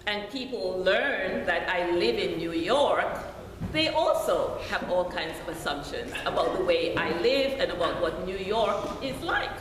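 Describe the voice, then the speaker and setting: Slow-spoken Jamaican woman in echoey church. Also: mid-range voice, fairly bright tone. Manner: slow, deliberate storytelling. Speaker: deacon. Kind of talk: sermon. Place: church sanctuary. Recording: echoey church hall with faint noise.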